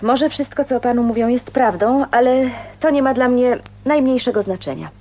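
A woman's voice: fairly high pitch, normal speed, a little mellow, dull audio. An actress in a radio play voicing a shy but determined, well-bred young woman, polite, hesitant and sincere. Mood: earnest.

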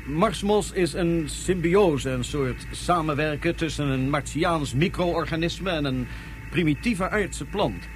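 A measured nomad chieftain. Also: male voice, a little high, slight background noise. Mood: explaining. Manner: explanatory, measured, with hesitant "eh"s. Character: proud male nomad chieftain with his own court. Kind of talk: radio play acting.

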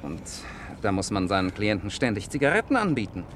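An actor playing a sardonic, smug young defence lawyer in a 1960s German radio play, speaking in a dry, ironic way. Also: male voice, on the low side, very animated, a little fast. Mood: smug, joking. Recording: noisy 1960s radio recording with clicks.

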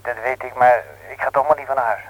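Radio play role: resigned jilted lover on the phone, mid-pitched, curt replies. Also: male voice, fairly even intonation, fast, no echo, rough recording. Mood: downcast, withdrawn. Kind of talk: radio drama dialogue.

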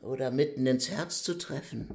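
A man's voice: medium pitch, normal speed, close to an everyday voice. An actor playing a blunt, matter-of-fact demon hitman in a radio play, curt and unbothered. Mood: boastful.

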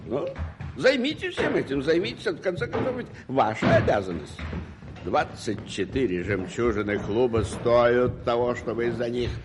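An actor playing an imperious, irascible English duke in a radio play, haughty and exclamatory. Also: male voice, mid-range voice, very animated, normal speed, over music, lightly acted. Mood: indignant. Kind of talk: 1980s Soviet radio play acting. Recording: noisy old radio recording.

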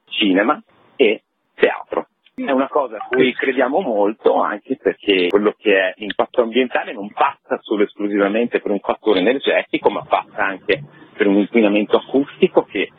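A man with a husky voice explaining things conversationally and in detail as a festival organiser in an informal radio interview. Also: fairly low pitch, no echo. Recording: tinny, narrow phone line.

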